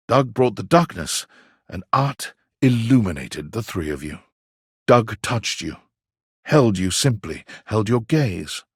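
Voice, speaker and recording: Husky, flamboyant, theatrical storyteller. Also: male voice, on the low side, dry recording, clean studio sound, heavily acted. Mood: sensual, grandiose. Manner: dramatic, pompous, savoring words with deliberate pauses. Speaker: voice actor, narrator of game story. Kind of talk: theatrical scripted narration.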